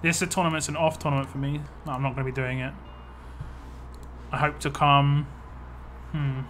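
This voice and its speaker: A man's voice: mid-range voice, level tone, normal speed, fairly bright tone, soft background music. A man casually chatting on a live stream, reading viewer comments aloud and answering them as he plays. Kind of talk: casual live stream chat while playing a mobile game.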